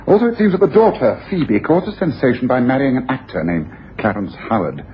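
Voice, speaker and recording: A man playing an analytical gentleman detective in a radio play, musing and lecturing with precision. His mid-pitched voice moves in lively contours. The broadcast is dull, with a faint hiss of noise. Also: fairly quick, close to an everyday voice. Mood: explaining. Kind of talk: radio drama acting.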